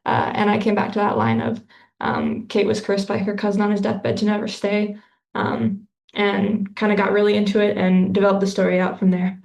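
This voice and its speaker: A woman's voice: medium pitch, moderate pace, creaky, darkish tone, reverberant. A woman author answering interview questions in a casual, thoughtful way, with lots of fillers and restarts.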